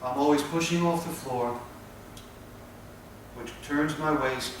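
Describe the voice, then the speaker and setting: A man explains movements slowly and patiently, breaking his sentences into short pieces. He stands well away from the mic in a very echoey space. A steady hiss of noise sits under his voice. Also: on the high side. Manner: plain, patient explaining with pauses. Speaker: tai chi instructor. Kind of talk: spoken instruction while demonstrating. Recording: echoey, distant with background noise.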